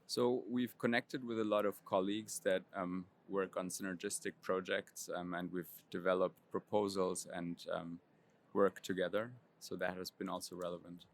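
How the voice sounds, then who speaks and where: A man, a professor, answering interview questions in a measured, precise way with frequent ums. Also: low voice, level tone. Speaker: immunology professor. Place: convention center floor.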